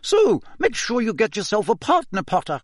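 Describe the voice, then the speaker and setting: Brief: strict older schoolmistress, clipped and disapproving, high and lively , as a male reader plays her. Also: moderate pace, no room sound, studio quality, full character voice. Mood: firm. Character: stern strict older female teacher.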